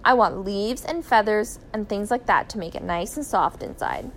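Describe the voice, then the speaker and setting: A woman voicing a firm, practical father turned small bird in a story reading, with brisk commands. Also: medium pitch, a little hiss or hum, natural voice. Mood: bossy, urgent.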